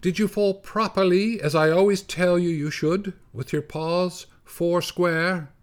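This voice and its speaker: A man doing a fretful high mother mouse. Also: steady tone, measured pace. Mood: anxious. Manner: pleading, fretful, high and wavering. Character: anxious polite mother field mouse, tiny.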